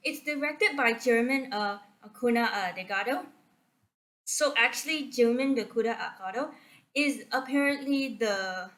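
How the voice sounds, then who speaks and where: A woman's voice: fairly high pitch, a bit bright. A young woman from Malaysia giving a casual movie summary for her channel, talking hesitantly and often unsure of the facts, with many fillers and restarts. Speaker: video creator. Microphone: computer screen recording mic.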